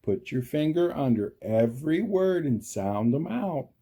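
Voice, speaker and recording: A man reading a picture book aloud to children, emphatic, deliberate and theatrical. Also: fairly high pitch, big pitch swings, warm tone, slightly rough. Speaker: storybook reader for children. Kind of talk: animated read-aloud storytelling.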